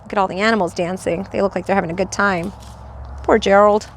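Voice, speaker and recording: A woman's voice: medium pitch, steady tone, fast, a little hiss or hum, rough recording. A woman teacher reading a children's storybook aloud expressively, adding little asides to her listeners.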